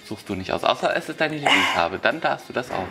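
A young man co-hosts a casual vlog in short replies. His pitch moves around a lot, and music plays clearly behind him. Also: expressive, quick pace. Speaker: co-host in a shopping challenge. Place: inside a drugstore.

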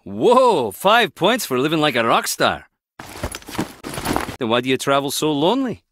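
A man's voice: a little high, sing-song, measured pace, noisy background. A man voicing a cocky, eager young man in an adventure video game. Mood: cocky, teasing.

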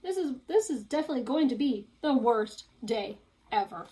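A woman's voice: fairly high pitch, expressive, moderate pace, warm tone, close to an everyday voice. A woman voicing an anxious, grumbling young male cat from a storybook. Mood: gloomy. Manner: gloomy, complaining.

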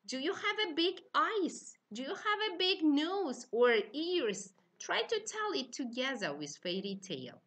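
A middle-aged woman with an Uzbek accent, lecturing to trainee teachers in simple, halting, instructive phrases. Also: fairly high pitch, a bit bright. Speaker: university teaching-methods lecturer.

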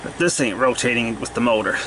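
Male hobbyist repairer, mid-pitched, plain-spoken and unscripted, talking to himself on handheld camera audio with faint hum behind. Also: brisk. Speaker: hobbyist repairer narrating own video. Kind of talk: casual off-the-cuff repair commentary. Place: cluttered indoor workshop.

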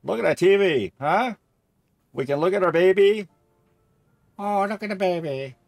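A man's voice: sits high, lively intonation, normal speed, creaky, darkish tone, no echo, faint music behind. A middle-aged man giving casual, rambling, easily amused commentary as he plays a game. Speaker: gamer playing through a game.

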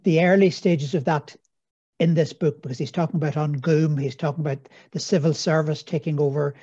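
An Irish man interviewing a guest over a video call, asking measured, leading questions. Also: fairly high pitch, steady tone, dark tone. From Ireland. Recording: muffled husky webcam audio.

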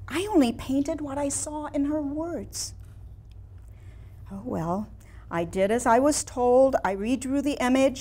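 A woman in her sixties playing a proud, wistful woman painter in a theatrical, deliberate staged reading. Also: high-pitched, darkish tone, pitched above the performer's own voice. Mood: indignant, resigned. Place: small room in a house before a seated audience.

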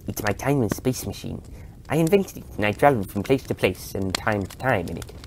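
A man's voice: lowish voice, expressive, a little fast, slight background noise, poor audio. A man voicing an eccentric, courteous old time traveller, curious and musing in a genteel manner. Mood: enigmatic, wistful. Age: older. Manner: musing, genteel, with hesitant interjections.

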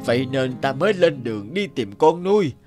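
A man voicing a stern but kindly old father in an animated folk tale, speaking slowly and deliberately. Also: mid-range voice, music in the background, lightly acted. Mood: earnest, warm. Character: stern old father, testing and finally kind.

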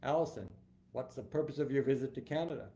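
A man reading aloud as a polite, brisk woman customs officer, lifting his voice a little and asking official questions. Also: medium pitch, dark tone, close to an everyday voice. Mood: questioning.

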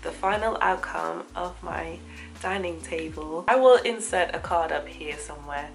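A young woman speaks to camera in her dining room, her voice hoarse from flu. She is chatty and upbeat as she wraps up. Faint music plays softly behind her. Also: on the low side. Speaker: DIY vlogger. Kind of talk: on-camera outro chat. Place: home dining room indoors. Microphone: camera at close range.

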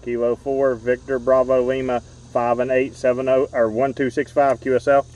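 A man's voice: mid-range voice, little pitch movement, a little mellow, far from the mic, rough recording. Man reading call signs outdoors. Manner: brisk, repetitive call-sign reading. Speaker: ham radio park activator. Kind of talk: rapid radio contact exchange. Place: outdoors in a park. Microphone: handheld radio microphone.

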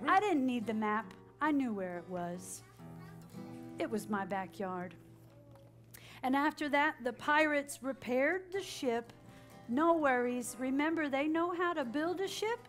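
A woman storyteller reading aloud to young children in a dramatic, animated way, prompting them to join in. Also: sits high. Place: children's library story room with an audience, indoors.

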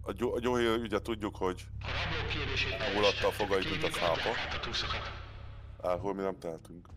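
A man's voice: low-pitched, flat delivery, very noisy. A man chatting and joking casually as a player in a roleplay game.

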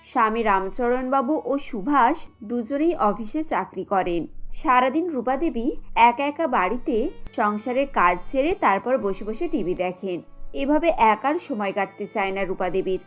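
A woman's voice: fairly high pitch, expressive, unhurried, soft background music. A woman giving scripted narration of a cartoon family story.

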